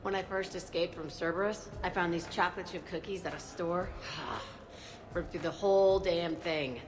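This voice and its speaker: A woman's voice: on the low side, steady tone, normal speed, close to an everyday voice. An actress playing a brash, tough, foul-mouthed young woman in a video game, blunt and sardonic. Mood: eager, frank. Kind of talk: video game character acting.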